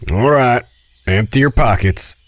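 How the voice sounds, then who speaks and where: Gruff, slow-talking western robber played by a man. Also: medium pitch, lightly acted. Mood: commanding. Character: gruff, commanding highway robber of the Old West. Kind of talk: western audio drama acting.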